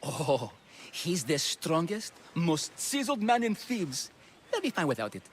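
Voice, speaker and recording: An actor playing a gushing, lovesick ancient Greek athlete in a video game, fretful and theatrical. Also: male voice, on the high side, big pitch swings, unhurried, slight background noise. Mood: scheming, besotted. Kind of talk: video game cutscene acting.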